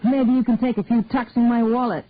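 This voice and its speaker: A woman's voice: on the high side, fairly even intonation, a little fast, close to an everyday voice. A woman voicing an easygoing middle-aged father who talks in a wry, offhand way. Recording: narrow phone-like dry sound.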